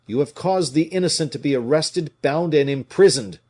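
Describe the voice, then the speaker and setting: A man voicing an indignant, scornful veteran musketeer with a rough, declamatory delivery in an audiobook. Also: a little high, moderate pace, darkish tone, pitched above the performer's own voice, close to an everyday voice. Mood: fierce, righteous. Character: fiery, indignant veteran musketeer, gruff old soldier. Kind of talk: dramatic audiobook character reading.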